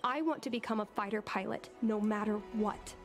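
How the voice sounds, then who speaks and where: A woman voicing an earnest, determined young girl who hopes to be a pilot, speaking in a measured way in video game dialogue. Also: mid-range voice, natural voice. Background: game music.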